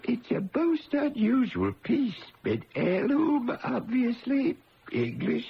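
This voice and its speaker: Fussy, swooping, creaky shopkeeper. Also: male voice, very high-pitched, wildly swooping pitch, slow pace, heavily acted. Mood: fussy, flustered. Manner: unctuous, fussy, singsong. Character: fussy, obsequious male silver shop dealer. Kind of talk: 1970s radio drama acting. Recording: muffled old radio recording, music behind.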